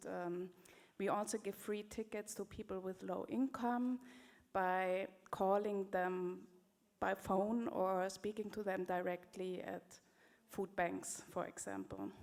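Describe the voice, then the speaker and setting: A woman from Germany introducing herself and her organization on a panel, steady and explanatory. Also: mid-range voice, creaky.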